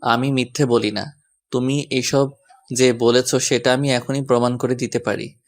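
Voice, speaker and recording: A man's voice: mid-range voice, flat delivery, measured pace, natural voice. A man playing a mischievous, doting young husband who teases and coaxes in a romantic audio story. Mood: teasing. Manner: teasing, coaxing.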